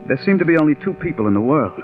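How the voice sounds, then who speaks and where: An actor playing a bitter, stubborn, overworked scriptwriter in 1940s radio drama style, intense and brooding. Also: male voice, a little high, sing-song, racing, faint music behind. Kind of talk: 1940s radio acting with first-person narration. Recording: dull 1940s radio recording.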